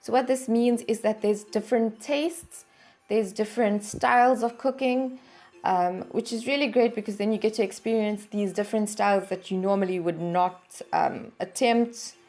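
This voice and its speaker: A woman hosting a channel, talking through a product review in a steady explanatory way with some fillers. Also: medium pitch, normal speed. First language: English. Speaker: channel host reviewing a service. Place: home in Norway.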